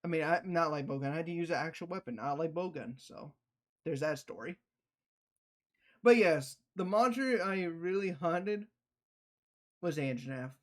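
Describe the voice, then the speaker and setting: A man livestreaming casual reaction commentary, talking in a slangy, profane, animated storytelling way. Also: a little high, moderate pace, long pauses.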